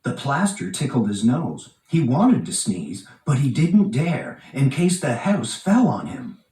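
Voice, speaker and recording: Animated male storybook narrator in an echoey room. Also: medium pitch, measured pace. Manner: expressive, dramatic emphasis on key phrases. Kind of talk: animated read-aloud children's storybook narration. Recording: roomy, echoing, distant from mic.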